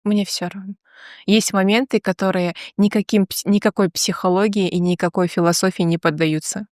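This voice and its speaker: A woman guest in a podcast interview, speaking earnestly and confidently, sometimes in quick bursts. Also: medium pitch, steady tone, no echo, studio quality. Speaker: guest entrepreneur. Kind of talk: casual interview talk.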